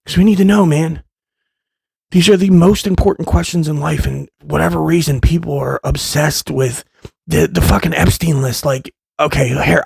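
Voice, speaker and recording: Gravelly, husky man ranting. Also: a little high, no room sound. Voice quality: loud voice. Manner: rambling, profane, impassioned, with many restarts. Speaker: vlogger, father. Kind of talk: casual monologue to camera.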